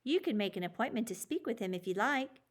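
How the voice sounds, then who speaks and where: A calm male schoolteacher from a children's storybook, as read by a woman. He addresses his class reasonably and patiently, explaining each point in a plain, everyday voice. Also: on the high side, quick pace. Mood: reasonable. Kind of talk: children's storybook character reading.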